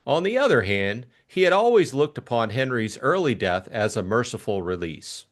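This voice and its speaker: A man narrating a classic novel as an expressive audiobook reader. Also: mid-range voice, moderate pace.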